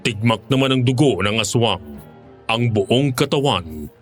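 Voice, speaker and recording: Horror narration: male actor as former aswang hunter, mid-pitched, measured and grave, faint music underneath. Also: animated, natural voice. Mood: grim. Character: former male aswang hunter recounting his grim experience. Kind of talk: dramatic horror story narration in Tagalog.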